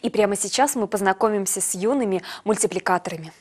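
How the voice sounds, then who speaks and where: A woman's voice: medium pitch, fast, over loud music. A woman in her thirties hosting a television morning show with scripted presenting. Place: TV studio.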